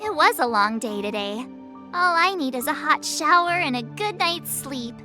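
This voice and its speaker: A chatty young adventurer girl for a game. Her voice is very high and girlish, with lively swings of expression. She is dramatic and excitable, over prominent game music. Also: moderate pace. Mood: sleepy, cheerful. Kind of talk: animated video game voice acting.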